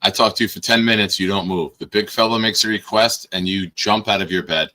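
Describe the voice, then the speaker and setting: A man hosting a casual live-stream show, hoarse, teasing and bossing his guest around with sudden loud bursts. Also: on the low side, fairly even intonation, brisk. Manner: teasing, bossy banter with sudden loud outbursts. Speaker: podcast host.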